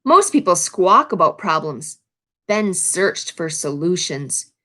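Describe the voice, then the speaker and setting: A woman reading a children's picture book aloud in an expressive, instructive way, adding explanations for young listeners. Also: on the low side, slow. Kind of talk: read-aloud storytelling with explanatory asides to children.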